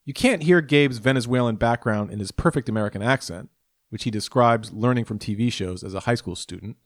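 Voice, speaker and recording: A man's voice: on the low side, no echo, studio quality. A man hosting a podcast, reading a careful introduction and then interviewing a guest. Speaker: podcast host.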